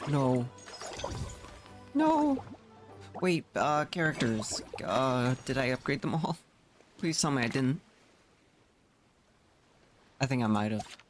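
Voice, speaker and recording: A man's voice: medium pitch, very animated, takes it slowly, long pauses, faint music behind. A man doing casual live gameplay commentary as a streamer, muttering short reactions to himself. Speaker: game streamer.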